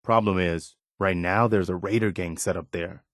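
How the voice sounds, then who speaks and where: A man's voice: sits low, steady tone, normal speed, no room sound, studio quality, close to an everyday voice. A man playing an earnest, loyal militia officer in a video game, speaking sincerely and plainly with a sense of duty. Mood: earnest, steady. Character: earnest, steadfast militia officer, loyal adult male companion. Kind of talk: acted video game dialogue.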